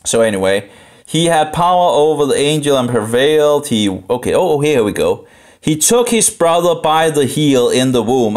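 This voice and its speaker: A Danish man with a Danish accent thinks aloud through informal Bible commentary, halting and rambling as he repeats phrases. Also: mid-range voice. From Denmark. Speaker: live streamer studying the Bible.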